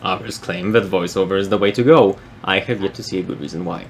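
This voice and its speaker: A man's voice: low-pitched, fast, slight background noise. Wry young man. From Poland. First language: Polish. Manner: dry, wry, explanatory. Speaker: video essayist. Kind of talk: scripted narration.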